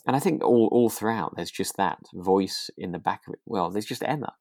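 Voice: sits low, animated, brisk, vocal fry, a little mellow, no room sound, clean studio sound. A British podcast co-host chatting casually about a radio drama in a hesitant, conversational way.

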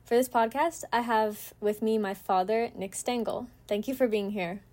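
A woman's voice: a little high, normal speed, a little mellow. A young adult woman with an American accent, hosting a student podcast and asking prepared questions politely.

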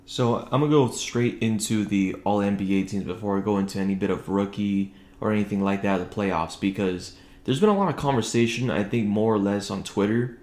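A man's voice: fairly quick, a little mellow. A man hosting a casual solo sports podcast, talking conversationally and keeping his voice down as he records at home. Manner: conversational, keeping voice low late at night. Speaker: podcast host. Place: indoors at home, echoey room. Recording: roomy, echoing home recording.

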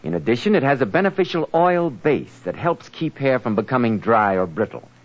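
A man working as a radio announcer and host, giving polished, promotional 1940s sponsor pitches. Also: sing-song, brisk. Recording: muffled 1940s radio, music behind.

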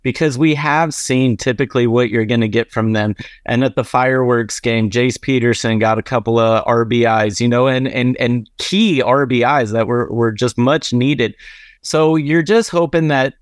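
A male co-host with a middle-pitched voice, relaxed and conversational. His talk is loose and full of filler words. The recording is dry and close to the mic. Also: normal speed, dry recording. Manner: conversational, full of "like" and "you know". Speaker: podcast co-host. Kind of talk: casual sports podcast talk.

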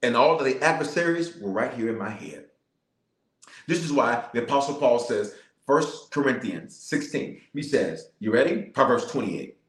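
A man's voice: medium pitch, animated, moderate pace, roomy sound. A man, a bishop, leading a livestreamed prayer with fervent, repetitive declarations and calls to the viewers. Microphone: cameras in front of him.

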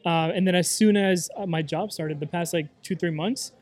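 A man's voice: high voice, a little mellow. Man in his late twenties, casual chat. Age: 20s. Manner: repeats words, quick agreeing fillers.

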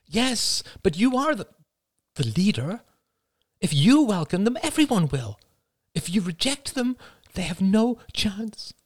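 A man's voice: high-pitched, very animated, a little slow, no room sound, studio quality, pitched above the performer's own voice, exaggerated voice. A man voicing an anxious middle-aged mother who pleads emotionally in an audiobook. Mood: worried. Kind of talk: audiobook character voice.

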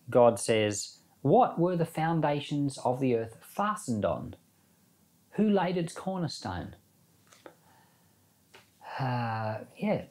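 A man's voice: mid-range voice, a little mellow. Conversational man teaching from scripture. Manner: conversational, posing rhetorical questions. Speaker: Bible teacher. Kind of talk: informal sermon with scripture reading and commentary.

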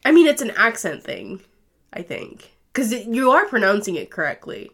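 A woman's voice: on the high side, very animated, moderate pace, a bit bright, slightly rough. A young woman co-hosting a casual podcast, playful and teasing, laughing often. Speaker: podcast co-host. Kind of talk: casual chat. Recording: faint background hiss.

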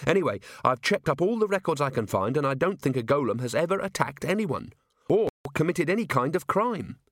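A man's voice: on the high side, very animated, brisk, no room sound, studio quality, pitched above the performer's own voice. A man voicing an earnest, polite young watchman in an audiobook, explaining things patiently and precisely. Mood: earnest, reasoning. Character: earnest, polite, literal-minded young male city watchman. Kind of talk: audiobook character voice.

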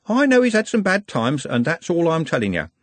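A man voicing a cocky young male street lad who talks in a high, boastful rush. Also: wildly swooping pitch, fairly quick, no echo. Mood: defensive.

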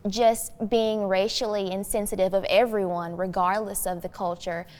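A woman's voice: medium pitch, steady tone, moderate pace, a little mellow. Young female guest, teens, mid-range voice, thoughtful and explanatory discussion, recorded with heavy hall reverb. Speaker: youth guest. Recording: long hollow room reverb.

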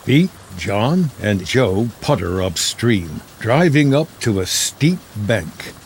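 Slow, low-voiced American male narrator. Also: very animated, a little hiss or hum. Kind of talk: scripted screenplay narration, present tense.

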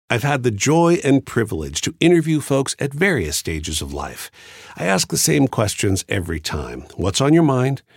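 A man hosting a podcast, reading a measured, thoughtful scripted introduction. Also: mid-range voice, vocal fry, breathy edge, no room sound, clean studio sound. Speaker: podcast host. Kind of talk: scripted reflective narration.

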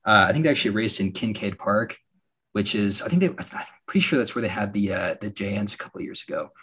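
A young man from Alaska hosting a podcast, telling stories in a relaxed way with lots of fillers and a slightly raspy voice. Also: on the low side, fast. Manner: relaxed storytelling with frequent fillers and laughs. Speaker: podcast host. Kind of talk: casual podcast chat.

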